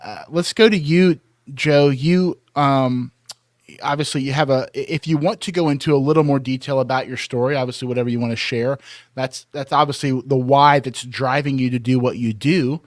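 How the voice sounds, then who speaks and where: A man's voice: mid-range voice, fairly even intonation, moderate pace, dry recording. A man with a mid-range voice hosts a casual talk show. He chats and puts questions to guests in a conversational style, and the sound is close and dry. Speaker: podcast host.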